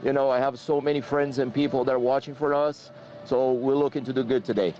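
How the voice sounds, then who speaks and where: A man's voice: medium pitch, fairly even intonation, fairly quick, warm tone, highs cut off, faint music behind. A man from Brazil whose first language is Portuguese, a racing driver interviewed outdoors on the grid, answering eagerly in simple phrases. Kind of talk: trackside interview.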